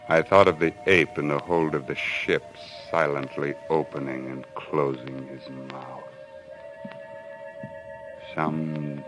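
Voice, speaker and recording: A man's voice: extremely low pitch, low-quality recording. An actor playing a tormented, menacing hunter husband losing his sanity, in a theatrical, intense old-time radio thriller style. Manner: theatrical, sarcastic, brooding, intense. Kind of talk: old-time radio thriller acting and first-person narration. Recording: old radio recording with music behind.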